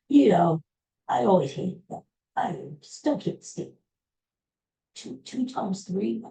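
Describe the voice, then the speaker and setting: Slow, mellow-voiced woman podcast host. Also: mid-range voice, lively intonation, gravelly, low-quality recording. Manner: rambling, folksy advice with many restarts; addresses listeners as folks. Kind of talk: casual solo podcast monologue.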